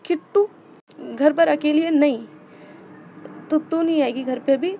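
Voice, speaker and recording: Woman doing a crying young wife, stammers and begs, high soft voice. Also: unhurried, slight background noise. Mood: sobbing. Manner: stammering, tearful pleading. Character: distraught young woman pleading with her spouse.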